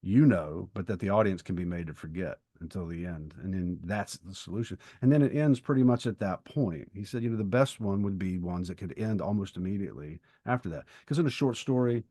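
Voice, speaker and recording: A man co-hosting a podcast, explaining ideas in a thoughtful, halting way in casual discussion. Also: deep voice, mellow, no echo. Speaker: podcast co-host and writer.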